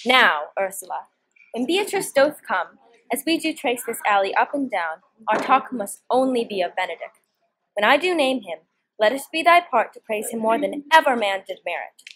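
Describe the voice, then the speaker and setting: A woman's voice: fairly high pitch, very animated, normal speed, fairly bright tone, slight background noise, poor audio. A teenage girl playing a scheming young noblewoman in a student performance of Shakespeare, with a declamatory delivery. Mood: conspiratorial. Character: Hero, scheming young noblewoman feigning disdain for her cousin.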